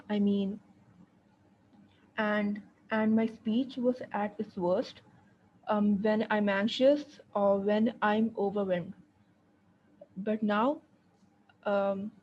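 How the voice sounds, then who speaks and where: A woman's voice: mid-range voice, highs cut off, a little hiss or hum. A young woman telling her own story in a testimonial, hesitant, with many repeated words and fillers. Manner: hesitant, frequent repetitions and fillers. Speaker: guest sharing her story. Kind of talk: personal testimonial.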